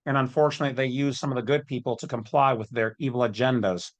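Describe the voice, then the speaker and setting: A man's voice: mid-range voice, steady tone, fast talker. A man delivering informal, off-the-cuff commentary as a channel host, with a colloquial, opinionated way of talking.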